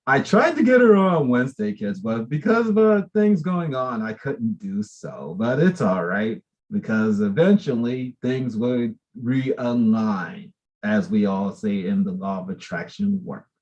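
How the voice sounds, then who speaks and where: A man's voice: mid-range voice, lively intonation, measured pace, a little mellow, highs cut off. A man hosting an interview show, effusive and chatty as he welcomes his guest. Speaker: host.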